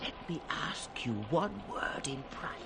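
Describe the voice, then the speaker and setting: A man's voice: fairly high pitch, animated, unhurried. An actor playing an imperious old mad king whose wits wander, in 1960s Shakespearean radio style. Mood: distracted courtesy. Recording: faintly noisy 1960s radio recording.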